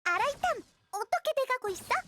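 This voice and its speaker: A woman voicing a tiny, excitable, cheeky fairy companion in a video game, childlike and squeaky. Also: fairly quick. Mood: eager, curious. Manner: exclamatory, childlike, expressive. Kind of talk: scripted video game dialogue in Korean.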